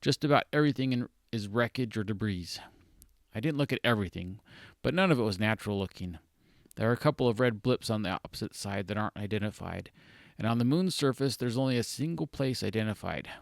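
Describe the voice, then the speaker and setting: A man's voice: on the low side, expressive, fast talker, gravelly, dry recording, studio quality. A man voicing a thoughtful young male explorer in a storybook, explaining things as they reason aloud.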